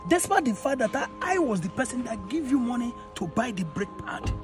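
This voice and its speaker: A man's voice: extremely high pitch, sing-song, a little fast. A man with a Nigerian accent playing a generous, wronged customer, speaking emphatically and repeating himself in a skit. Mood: hurt, reproachful. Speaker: actor in an online skit. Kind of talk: improvised moral skit acting. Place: outdoors in an open car yard. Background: music, vehicles.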